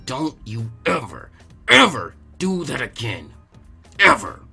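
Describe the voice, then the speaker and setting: A man puts on a furious young kunoichi from an anime story, growing threatening through gritted teeth. The delivery is slow and menacing, with music underneath. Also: mid-range voice, very animated, creaky, pushed and bright, breathy edge, voice pushed down, exaggerated voice. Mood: seething. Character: furious, threatening teenage girl ninja.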